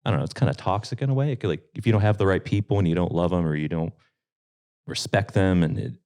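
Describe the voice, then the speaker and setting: A man's voice: deep voice, fast talker, a little mellow. A man, a band member, chatting casually and joking with bandmates on a podcast. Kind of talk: casual chat.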